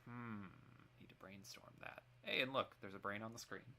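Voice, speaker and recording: A stream co-host bantering casually and reacting out loud in a conversational way. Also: medium pitch, normal speed. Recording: faint background noise under voice.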